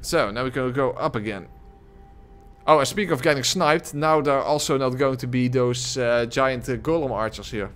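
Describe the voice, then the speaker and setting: A man's voice: mid-range voice, normal speed, a bit bright, faint music behind. Rambling male game streamer, close mic. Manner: rambling, lots of "uh" fillers, frequent chuckles. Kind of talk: casual live gameplay commentary, reading chat. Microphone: desk microphone close to the face.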